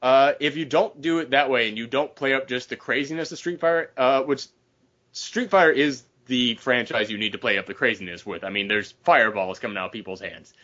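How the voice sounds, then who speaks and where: A man's voice: medium pitch, a little fast, sharp tone, lo-fi sound. A man co-hosting a casual group podcast, talking in an emphatic, opinionated way with heavy swearing. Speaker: podcast co-host.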